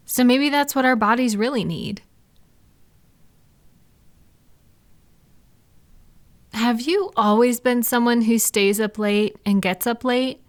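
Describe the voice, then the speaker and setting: A woman plays an affectionate girlfriend in an intimate roleplay audio. Her voice sits at a medium pitch for a woman. She chats playfully and reassures her partner with gentle, caring attention, as if in a private one-sided conversation. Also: close to an everyday voice. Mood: musing, curious. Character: caring, gentle, chatty girlfriend comforting a night-owl partner.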